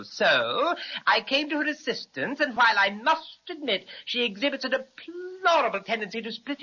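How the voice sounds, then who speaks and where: A woman's voice: medium pitch, bright, forceful tone, muffled recording. A woman playing a pedantic, haughty owl professor in a children's audio serial, speaking with pompous, precise, fussy correctness. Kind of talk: children's radio serial acting.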